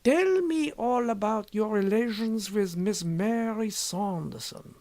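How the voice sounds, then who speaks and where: A man voicing a sly, impassive, secretive scholar in an audiobook story, with measured, deliberate and formal phrasing. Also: sits high, darkish tone, dry recording, pitched above the performer's own voice. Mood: teasing, impassive. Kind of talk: audiobook character dialogue.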